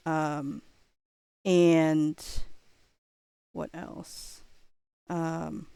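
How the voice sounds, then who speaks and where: A woman with a slightly low voice hosts a casual livestream. She rambles as she thinks aloud, with a playful streak. The sound is dry, with very little room in it. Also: flat delivery, creaky, dark tone, smooth, no room sound. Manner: playful, quick to laugh. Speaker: livestreamer and host reading chat.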